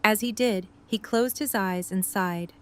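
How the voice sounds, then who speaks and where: A woman's voice: mid-range voice, measured pace, a bit bright, a little hiss or hum. A woman reading scripted third-person story narration for an audio drama.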